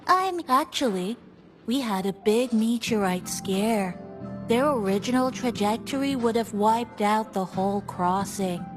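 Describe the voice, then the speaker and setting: A woman voicing a kindly, gently teasing cafe attendant in a video game, with music playing behind her. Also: a little high, expressive, a little slow. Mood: friendly, helpful. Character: playful, kindly female cafe attendant addressing a passenger. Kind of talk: video game character dialogue. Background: game background music.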